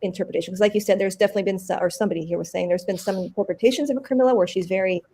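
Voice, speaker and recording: A bubbly woman speaks in a relaxed interview. She laughs easily and talks in quick, excited bursts at a middle pitch. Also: breathy edge. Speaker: actor. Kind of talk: casual interview chat.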